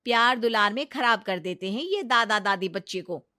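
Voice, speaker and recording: A woman's voice: medium pitch, expressive, moderate pace, bright, forceful tone, no echo. A woman voicing a chatty, nosy young office friend in a cartoon story.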